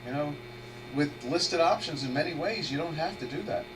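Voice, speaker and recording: A man's voice: fairly high pitch, normal speed. Man with a head cold, distant hum. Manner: rambling, meandering, restarts sentences. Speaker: trader hosting a live webinar. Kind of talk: casual live market commentary, thinking aloud. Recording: distant mic with steady electrical hum.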